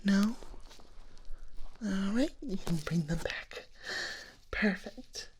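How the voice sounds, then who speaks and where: A woman playing a gentle, reassuring dragon nurse in an ASMR roleplay, speaking in a soft breathy whisper with a soothing clinical manner. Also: medium pitch, lively intonation, slight background noise, rough recording. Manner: slow, soothing, reassuring clinical bedside talk. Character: gentle reassuring female dragon nurse giving hearing exam.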